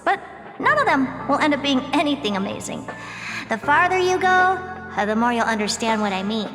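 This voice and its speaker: A woman's voice: high voice, sing-song, normal speed, over music. An actress playing a confident, worldly genius woman, breezy and teasing, in a video game cutscene with long reverb. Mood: airy, knowing. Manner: breezy, self-assured, playful.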